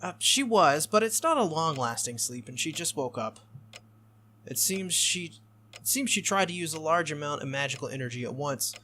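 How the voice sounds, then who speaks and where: A man voicing a brisk, matter-of-fact young woman in a visual novel, speaking casually. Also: a little high, sharp tone.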